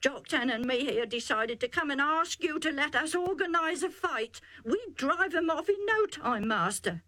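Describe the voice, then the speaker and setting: A woman voicing a rough, excitable male herdsman who pleads urgently in rustic dialect. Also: sits high, moderate pace, voice pushed up. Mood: aggrieved pleading.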